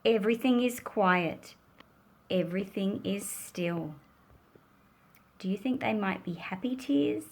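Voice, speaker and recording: A woman reading a children's picture book aloud in a gentle, expressive storytelling manner, with deliberate phrasing. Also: medium pitch, breathy edge.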